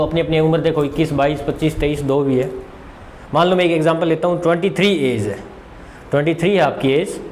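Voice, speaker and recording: A man teaching informally, repeating phrases for emphasis and answering his own questions as students would. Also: high voice. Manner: mixes English terms into Hindi. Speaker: maths teacher. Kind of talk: informal exam-prep lesson.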